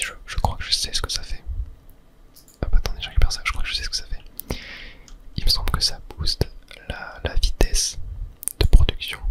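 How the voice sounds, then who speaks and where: A man from whispers casual ASMR gaming commentary in a breathy, unvoiced voice, murmuring his thoughts aloud. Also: very high voice, sharp tone. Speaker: ASMR gaming video host.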